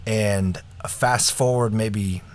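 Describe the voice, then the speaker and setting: Low-voiced relaxed man. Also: very slow. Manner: relaxed, lots of fillers, laughs along. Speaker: podcast co-host. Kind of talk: casual conversational introduction.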